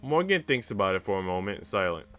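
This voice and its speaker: Male streamer, lowish bright voice, visual novel read-aloud, informal commentary, faint music behind. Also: very animated, fast talker. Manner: informal, slangy asides.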